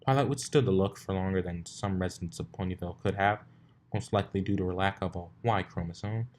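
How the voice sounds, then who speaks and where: Low-voiced man reading a story aloud. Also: fast talker, a little mellow. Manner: stumbles over lines and rereads them. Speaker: fan fiction reader. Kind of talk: expressive storybook narration.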